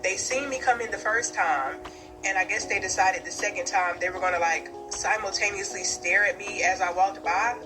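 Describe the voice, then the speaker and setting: A woman's voice: mid-range voice, moderate pace, music in the background, rough recording. A woman giving her opinion in a casual monologue, blunt and emphatic, repeating her points. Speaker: video creator.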